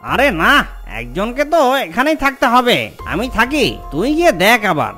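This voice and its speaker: Shrill, bickering demon guard. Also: male voice, wildly swooping pitch, measured pace, pushed and bright, soft background music, exaggerated voice. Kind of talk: fairy-tale cartoon dubbing.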